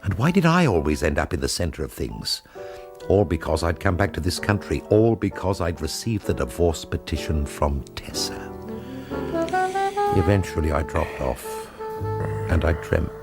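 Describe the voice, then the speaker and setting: An actor playing a weary, put-upon art forger on the run in a radio drama, wry and sometimes hesitant. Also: male voice, sits low, very animated, moderate pace, over music. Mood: brooding. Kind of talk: radio drama acting and first-person narration.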